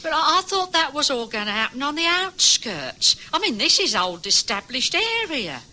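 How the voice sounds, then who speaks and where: A woman's voice: high-pitched, big pitch swings, normal speed, sharp tone, heavily acted. An actress playing an anxious housewife in an old radio drama, fretful and stammering as she defends her home. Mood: worried. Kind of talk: 1960s radio drama acting.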